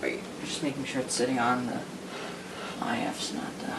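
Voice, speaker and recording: A man's voice: mid-range voice, level tone, normal speed. A man talking casually while he restores an old radio, thinking aloud and making chatty asides as he works. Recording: noisy workshop recording.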